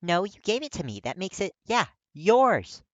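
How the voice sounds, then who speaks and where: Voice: medium pitch, very animated, moderate pace, dry recording, muffled recording, voice pushed up, lightly acted. A narrator voicing a friendly, generous young woman with a chirpy, insistent way of talking in an audio story. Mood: friendly, teasing.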